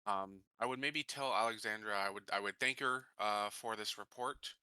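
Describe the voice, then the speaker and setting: A man with a low but very bright, forceful voice plays a tabletop game. He describes his character's choices in a measured, casual way. The sound is dry and close. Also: clean tone, dry recording. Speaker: tabletop roleplaying game player.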